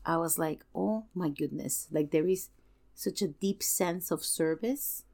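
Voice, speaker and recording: A woman in a casual film discussion, speaking earnestly and emphatically and pausing to search for words. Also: sits low, creaky, darkish tone. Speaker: podcast guest.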